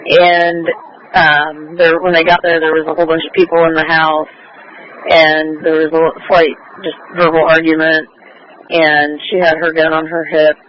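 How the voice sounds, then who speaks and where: A man, a police officer, giving a halting, matter-of-fact incident report over police radio. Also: fairly high pitch, completely flat delivery. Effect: police radio transmission. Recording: muffled, noisy police scanner radio.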